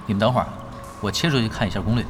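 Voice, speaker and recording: An actor playing a calm, self-assured expert online gamer in an audio drama, casual and matter-of-fact. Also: male voice, lowish voice, racing, over music, lightly acted. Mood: unhurried, matter-of-fact. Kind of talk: Chinese audio drama acting.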